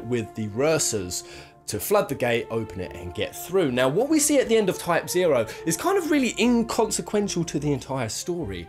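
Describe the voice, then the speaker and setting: A man giving casual, opinionated video commentary as a host, rambling and emphatic, with a bit of swearing. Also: mid-range voice. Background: instrumental music.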